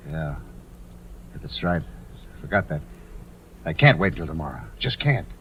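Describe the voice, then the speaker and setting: Scheming, low-voiced radio murderer. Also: male voice, expressive, unhurried, vocal fry, heavily acted. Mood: cold, scheming. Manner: terse, controlled, ordering his accomplice around. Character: scheming, cold-blooded male murderer in debt, curt and impatient. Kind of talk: old-time radio suspense acting. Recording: noisy, muffled vintage radio drama recording.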